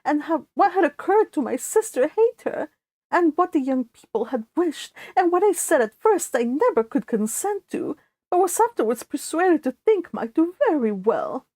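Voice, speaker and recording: A woman hosting a book read-along, moving between casual, chatty talk and expressive reading aloud, with informal asides. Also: sits high, moderate pace, dry recording. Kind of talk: casual livestream chat and expressive audiobook-style reading aloud.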